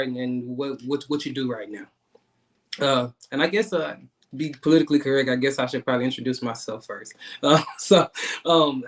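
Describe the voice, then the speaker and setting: A man, hosting an online talk show in a casual, halting way with many repeated words and fillers. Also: on the high side, a bit bright. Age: 30s. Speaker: guest host.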